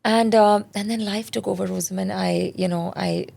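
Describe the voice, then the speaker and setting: A woman's voice: medium pitch, fairly even intonation, normal speed, gravelly, a little mellow. A woman in her forties, born in India, speaking as a parenting specialist in a candid, reflective interview. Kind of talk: conversational interview.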